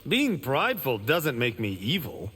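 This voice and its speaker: An actor voicing a boastful, vain young monk in a video game. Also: male voice, mid-range voice, wildly swooping pitch, measured pace, sharp tone, husky, soft background music, full character voice. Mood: cocky.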